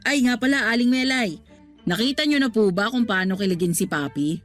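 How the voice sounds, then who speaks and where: A woman plays a young, affectionate daughter who loves to tease, in a radio drama. Her delivery is bantering and exclamatory, at a medium pitch. Faint music plays behind her. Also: animated, normal speed, natural voice. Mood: playful teasing. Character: teasing, affectionate grown child of a smitten father.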